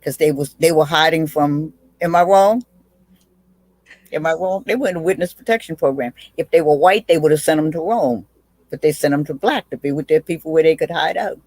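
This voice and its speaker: A woman's voice: deep voice, brisk, darkish tone, no room sound, rough recording. Old lady in her 80s, blunt and witty, restarts a lot, dry close sound. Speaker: guest, aunt. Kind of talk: casual video chat.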